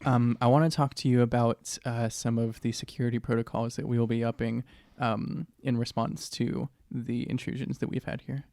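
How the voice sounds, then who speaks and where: A man playing a tabletop role-playing game, talking casually and haltingly in and out of character. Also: medium pitch, level tone, gravelly, darkish tone, dry recording, studio quality. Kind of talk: casual improvised in-character talk and banter.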